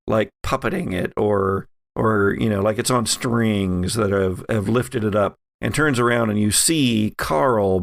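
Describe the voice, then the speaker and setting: A man runs a horror tabletop game, narrating in a low, gravelly voice. He takes his time, halting mid-phrase as he builds each scene, and sounds close and dry. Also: no echo. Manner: halting, pausing mid-phrase to find words. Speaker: game master.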